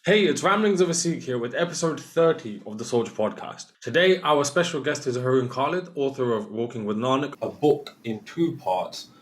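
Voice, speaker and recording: A man's voice: medium pitch, lively intonation, moderate pace, few pauses. A man hosting a podcast, reading an upbeat intro and then asking interview questions. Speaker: podcast host.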